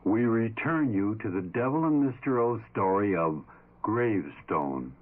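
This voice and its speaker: A man's voice: on the low side, animated, measured pace, background noise. A man reading scripted announcements as a radio programme announcer. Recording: tinny old radio recording.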